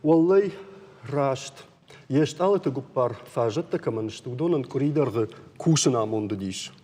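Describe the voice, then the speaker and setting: Husky, mellow man presenting in reverberant space. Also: medium pitch, animated, normal speed. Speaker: TV talk show co-host. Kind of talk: conversational news presenting.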